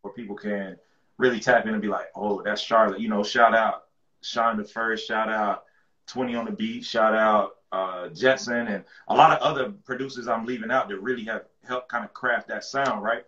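Man from with a low, deep voice, relaxed slangy guest; muffled lo-fi sound in an echoey room. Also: normal speed. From rural North Carolina. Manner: laid-back, slangy, repeats words as he restarts. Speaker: guest rapper. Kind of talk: casual interview chat.

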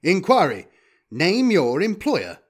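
A man's voice: sits high, huge pitch swings, slow pace, slightly rough, voice pushed up, heavily acted. A man voicing a cold, logical war robot in an audiobook, with clipped, formal machine phrasing. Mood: flat, commanding. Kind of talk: audiobook character voice.

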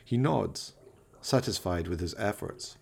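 Male narrator, low pitch, husky rasp, arch and florid, dramatic radio play storytelling. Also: expressive, unhurried, darkish tone.

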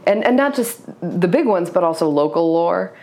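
A woman's voice: on the low side, lively intonation, moderate pace, darkish tone. A woman novelist, not a native English speaker, answering interview questions hesitantly, with many restarts, fillers and a reflective search for words. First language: Serbo-Croatian. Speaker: novelist guest. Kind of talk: interview conversation.

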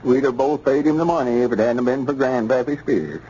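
An actor playing a self-assured country storekeeper in 1930s radio comedy, speaking in a rural Southern drawl with a teasing, matter-of-fact manner. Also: male voice, mid-range voice, fairly quick, warm tone, close to an everyday voice. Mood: reflective. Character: self-assured country storekeeper Lum, middle-aged man. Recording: dull old radio recording with faint hiss.

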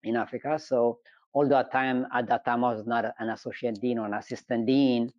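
A middle-aged man from Ethiopia speaks in a measured, storytelling way and pauses often on "uh". His pitch sits in the middle of the range. The audio is dry and slightly dull, like a remote call. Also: steady tone, no room sound. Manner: measured, storytelling, frequent hesitations. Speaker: engineering professor, guest. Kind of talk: conversational interview.

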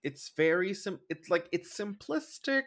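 A man's voice: high voice, big pitch swings, measured pace, fairly bright tone. A man co-hosting a recap podcast, chatting casually in a campy, sassy, exclamatory way and swearing freely. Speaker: podcast co-host. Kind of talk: casual comedic recap chat about a drag competition show.

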